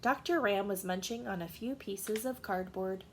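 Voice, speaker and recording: A woman reading a children's story aloud in an animated storytelling style. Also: medium pitch, normal speed, slight background noise.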